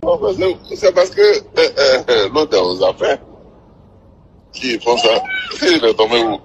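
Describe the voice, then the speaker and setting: A man with a West African French accent talking informally on a phone call, halting and often repeating himself. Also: mid-range voice, very animated, husky. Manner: halting, repetitive phrasing. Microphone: telephone.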